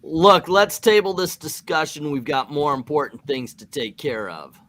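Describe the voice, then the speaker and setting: A man's voice: fairly high pitch, moderate pace, fairly bright tone. A man doing a casual, jokey and hammy read-aloud of a comic book. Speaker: channel host reading comics aloud.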